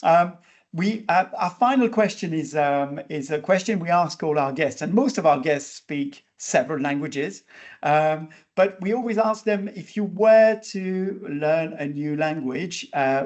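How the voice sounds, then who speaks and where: A man's voice: a little high, a little slow, darkish tone. A man hosting an interview podcast, talking casually with many fillers and restarts. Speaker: podcast host.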